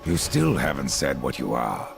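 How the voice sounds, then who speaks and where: An actor playing a stern, weary, distrustful supernatural warrior in a fantasy video game, speaking tersely. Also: male voice, extremely low pitch, huge pitch swings, moderate pace, vocal fry, heavily acted.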